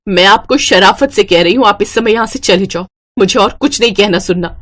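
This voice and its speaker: A woman's voice: medium pitch, fairly quick, fairly bright tone, lightly acted. A woman voicing a young, dutiful and firm daughter-in-law in a cartoon story, earnest and emphatic. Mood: indignant. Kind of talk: Hindi moral-story cartoon acting.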